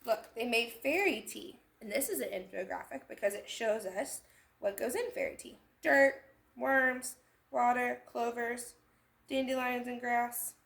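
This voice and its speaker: A woman's voice: a little high, a little slow, vocal fry. A woman hosting a children's storytime, reading a picture book aloud expressively and adding little explanatory asides. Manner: animated, acting out lines and pointing out details. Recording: echoey room sound.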